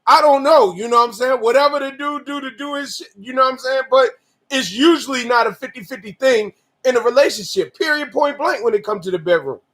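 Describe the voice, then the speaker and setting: A man of forty co-hosting a candid chat with his wife, talking in animated, slangy, profane bursts that sometimes rise to shouts. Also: extremely high pitch, brisk. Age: 40s. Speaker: podcast co-host.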